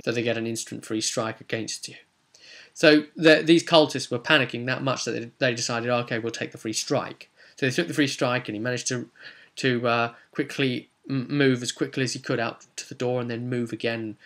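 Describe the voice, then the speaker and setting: Male game master, mid-pitched voice, for a chatty tabletop session recap; wandering, self-correcting delivery with dramatic rhetorical questions. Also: bright, forceful tone. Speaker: game master and video host. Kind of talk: informal recap of a tabletop role-playing session.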